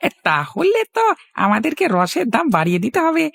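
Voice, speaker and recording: Creaky, excitable cartoon sidekick played by an actor. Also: high voice, fairly quick, exaggerated voice. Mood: eager, scheming. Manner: excitable, blurting, giggling. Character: sly, blunt-tongued sidekick of a village juice cheat. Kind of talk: cartoon voice acting.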